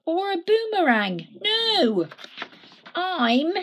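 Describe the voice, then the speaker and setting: A woman's voice: extremely high pitch, big pitch swings, drawn-out words, a bit bright, pitched above the performer's own voice, heavily acted. A woman voicing a small, proud male stick figure in a storybook, with a sing-song, emphatic delivery. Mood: insistent.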